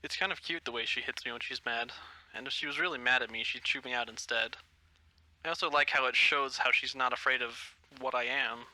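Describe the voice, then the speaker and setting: A man playing an earnest, gentle young gray wolf, shy and devoted, in a dramatic reading of a screenplay. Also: mid-range voice, a little fast, no room sound, low-quality recording. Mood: fond, musing.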